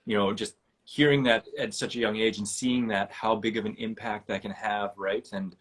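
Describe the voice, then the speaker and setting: A man's voice: fairly low pitch, fairly even intonation, moderate pace, fairly bright tone. A man hosting an interview, talking in an earnest, rambling and enthusiastic way. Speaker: podcast host. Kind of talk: casual interview chat.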